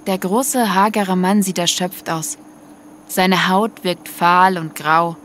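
A woman's voice: on the low side, fairly even intonation, slow pace, fairly bright tone, a little hiss or hum. A woman narrating a scripted audio story in prose. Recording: music under the voice.

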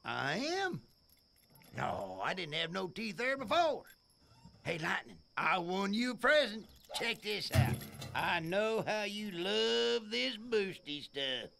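A man voicing a friendly, simple, loyal tow truck in a video game, with a folksy, excitable Southern US manner. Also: sits high, slow pace, creaky, a little hiss or hum, exaggerated voice. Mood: cheerful, eager.

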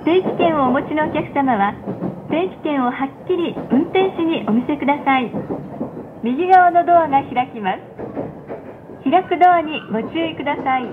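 A woman with a high voice makes a formal, scripted announcement to train passengers. She enunciates politely and carefully. The sound is thin and phone-like, with clear train noise running behind her. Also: expressive, moderate pace. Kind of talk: scripted recorded onboard announcement. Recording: tinny muffled playback, loud train noise. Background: rumbling train carriage.

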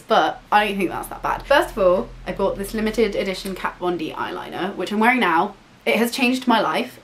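A woman in her twenties chatting casually in a haul vlog, bubbly with quick asides, recorded in a bedroom on a camera mic. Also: lowish voice. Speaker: vlogger. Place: bedroom, indoors. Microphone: camera mic, seated facing camera.

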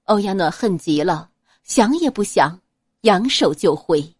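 A woman reads a serialized novel aloud with expressive flair. Her pitch moves widely through the lines, and the sound is dry and close, with no room around it. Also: a little slow, husky, no room sound. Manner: expressive, dramatic reading. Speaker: audiobook narrator. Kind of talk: storytelling narration of a serialized novel.

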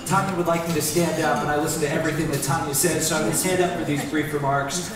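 A man's voice: on the high side, steady tone, fast. American man speaking in an echoey hall. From United States. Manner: upbeat, standing and gesturing. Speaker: panelist. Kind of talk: panel remarks on stage. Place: large event hall. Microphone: headset mic. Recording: echoey hall, distant mic, background noise.

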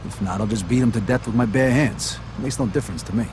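A man playing a hot-tempered young mobster in a video game, with a rough husky voice, an American accent and blunt, sardonic speech. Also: mid-range voice, fast talker, noisy background, natural voice. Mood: angry, vengeful.

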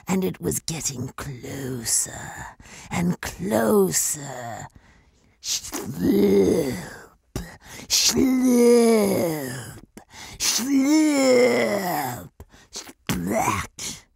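Slow, eerie woman narrator. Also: medium pitch, very animated, vocal fry, slightly rough, no room sound. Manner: hushed, eerie, slow storytelling. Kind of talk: scripted storybook narration.

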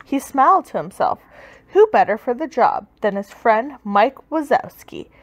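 Lady doing a kids storybook read aloud, highish voice, really lively and dramatic. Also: measured pace. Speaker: storybook reader.

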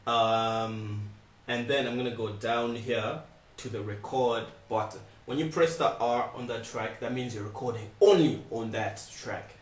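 A man's voice: medium pitch, measured pace. Young male tutorial presenter, informal and repetitive, recorded at a distance in an untreated, echoey room. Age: young adult. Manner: informal, repeats instructions. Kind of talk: casual step-by-step software tutorial. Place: small indoor room, not soundproof.

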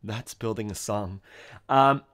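A man's voice: fairly low pitch, slow, a bit bright. A man in his thirties teaching accordion on a livestream from a home music room, thinking aloud and scatting melodies. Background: accordion playing.

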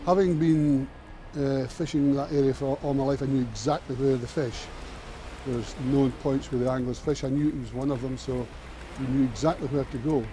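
A lifeboat operations manager speaking earnestly in an interview, with some repeated words. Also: male voice, mid-range voice, steady tone, moderate pace, background noise. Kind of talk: interview recollection.

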